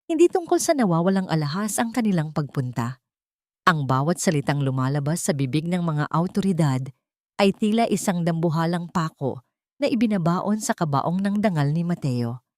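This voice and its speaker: A woman narrates a dramatic story in a solemn, measured way. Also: on the low side, a little mellow, no echo, clean studio sound.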